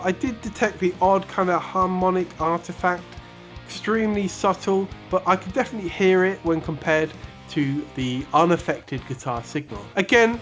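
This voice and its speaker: Man, slightly high pitch, scripted review style, measured presenter delivery, emphatic phrase openings, music behind. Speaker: host of a guitar pedal review channel. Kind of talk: scripted product review to viewers.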